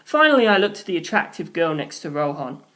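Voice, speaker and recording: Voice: medium pitch, sing-song, fairly quick, pushed and bright. A narrator reading a scripted story aloud in a dramatic storytelling style, acting out the characters. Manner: acts out characters' dialogue in different voices. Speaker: audiobook narrator, reader.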